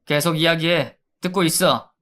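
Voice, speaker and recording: Man doing a cold curt prison guard, bright crisp voice, short orders. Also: fairly high pitch, moderate pace. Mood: stern. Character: stern male prison guard.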